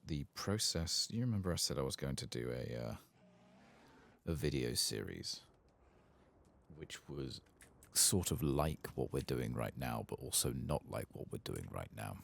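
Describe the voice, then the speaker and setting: A man giving casual, rambling, wry and sweary soft-spoken ASMR commentary on a game, close to the mic. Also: extremely low pitch, lively intonation, unhurried, vocal fry. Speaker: host of a game playthrough channel.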